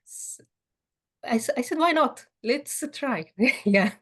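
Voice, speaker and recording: A woman's voice: fairly high pitch, lively intonation, slow pace. A woman, speaking at a meetup about her career, often restarting and repeating words. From Egypt. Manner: frequent restarts and repeated words, warm laughing asides. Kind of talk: personal career story talk.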